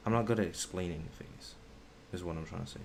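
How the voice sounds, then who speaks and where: Low-voiced young man, halting stream chat. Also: creaky, background noise. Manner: halting, searching for words, slangy. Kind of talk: casual live stream chat.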